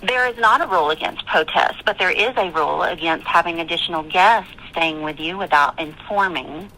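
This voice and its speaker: A woman working as property management, speaking on a telephone in a formal, polite but firm way, halting and repeating herself. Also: lowish voice, sharp tone, rough recording. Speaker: campground management staff. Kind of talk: formal customer phone conversation.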